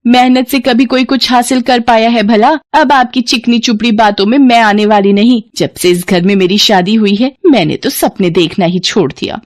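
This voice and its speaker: A woman voicing the nagging, sharp-tongued wife of a poor mechanic in a moral story, emphatic and chiding. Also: on the high side, normal speed, few pauses, voice pushed up, close to an everyday voice. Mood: taunting. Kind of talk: Hindi moral-story cartoon dubbing.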